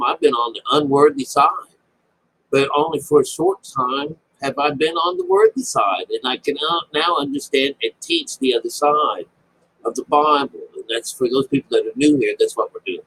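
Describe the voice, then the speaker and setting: A man giving informal Bible commentary in a rambling, halting way, often breaking off and restating himself. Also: mid-range voice, very animated, a bit bright, slight background noise, rough recording.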